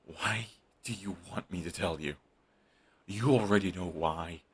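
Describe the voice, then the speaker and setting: A man's voice: sits low, a little slow, breathy edge. A man voicing a brooding villain who is defensive and lovestruck, talking close and intimately to the listener. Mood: hushed, flustered. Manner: intimate, shifts between hushed and heated. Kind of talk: audio roleplay monologue.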